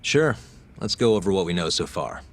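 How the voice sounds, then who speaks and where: An actor in an English game dub playing a composed, persistent young private detective with a wry, confident manner. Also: male voice, sits low, big pitch swings, moderate pace, a little hiss or hum. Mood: focused.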